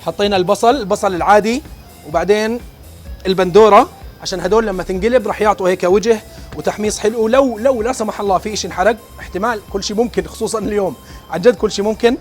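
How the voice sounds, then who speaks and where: A young man hosting a cooking vlog, talking to viewers in a brisk, excitable, joking way. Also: high voice. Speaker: cooking show host. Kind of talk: casual on-location cooking vlog narration. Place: busy event venue with a large outdoor cooking area. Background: background music.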